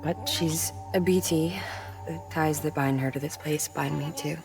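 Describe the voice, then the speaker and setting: An actress playing a grieving young mother in a video game cutscene, speaking in a quiet, reflective, confiding way. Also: sits low, a little slow, creaky, over music. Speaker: mother character.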